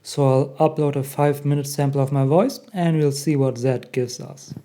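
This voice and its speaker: A young man with a German accent, hosting a casual software tutorial and chuckling at the results. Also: on the high side, fairly even intonation, moderate pace. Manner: chatty, laughs often at results. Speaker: tech tutorial host. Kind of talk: casual screen-recorded tutorial.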